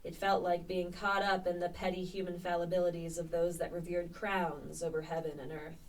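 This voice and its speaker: A woman's voice: on the low side, level tone, normal speed, lightly acted. A woman playing a witty young male Elizabethan poet turned spy in a radio play, speaking in a wry, eloquent, literary way. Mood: wry, reflective. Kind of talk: radio play monologue and dialogue. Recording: distant mic with background noise.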